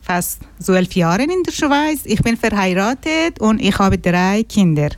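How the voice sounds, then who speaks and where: A woman from Afghanistan, a guest on a radio interview, answering in simple learner's German and pausing to search for words. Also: mid-range voice, sing-song, no echo.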